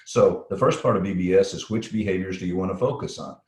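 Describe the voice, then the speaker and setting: A middle-aged man with a slight Texan accent, answering interview questions in a practiced broadcast-style voice, pausing often with fillers. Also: low voice, darkish tone. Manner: thoughtful, with frequent fillers and restarts. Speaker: guest safety consultant. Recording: echoey room.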